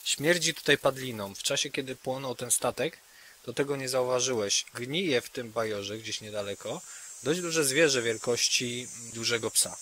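A man's voice: mid-range voice, normal speed, pushed and bright, slight background noise. A man narrating an improvised tabletop game as game master, speaking to players directly in a conversational way.

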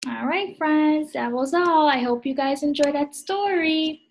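A young adult woman with a slightly husky voice, reading a storybook aloud to young children as a preschool teacher, recorded on a laptop at home. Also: high-pitched, a little slow. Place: small indoor room at home. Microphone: laptop video call.

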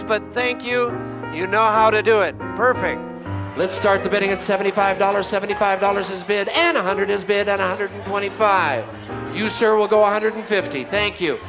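A middle-aged man working as an auctioneer at a live benefit auction, chanting repeated bids into a handheld microphone in a banquet hall. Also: high voice. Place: large banquet hall, on stage. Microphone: handheld microphone through a PA.

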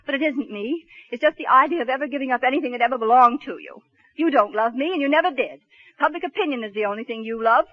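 An actress playing an exasperated, sharp-tongued, hurt wife in a 1940s radio drama, scolding and indignant. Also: female voice, high voice, quick pace. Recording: muffled 1940s radio broadcast.

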